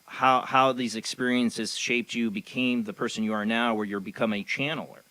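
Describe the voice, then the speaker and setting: Bright, dry-voiced radio host. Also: male voice, mid-range voice, fairly even intonation, normal speed, dry recording, poor audio. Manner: rambling, hedging questions with many "you know"s. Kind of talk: casual interview hosting.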